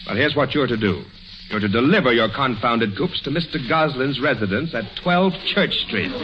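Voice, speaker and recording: An actor playing a busy, middle-aged American small-town lawyer in a 1940s radio comedy, blustery and easily flustered. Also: male voice, medium pitch, expressive, normal speed, crowd noise, natural voice. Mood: indignant. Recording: noisy, muffled old radio recording.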